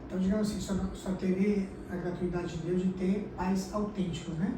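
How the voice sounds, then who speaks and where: A woman's voice: fairly low pitch, steady tone, normal speed, distant mic. A woman hosting an interview, affirming her guest often. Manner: affirming, many "sim, sim" backchannels. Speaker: interviewer, host. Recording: echoey room, recorded from afar, noisy.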